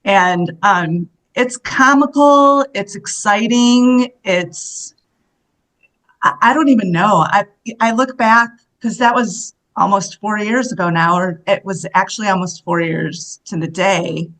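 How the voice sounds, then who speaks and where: This is a woman in her fifties from the United States, a guest in an interview. She tells her stories in an animated, chatty way and laughs often. Her voice sits at an ordinary middle pitch for a woman. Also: takes it slowly. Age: 50s. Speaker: guest, solo travel coach. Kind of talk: casual interview storytelling.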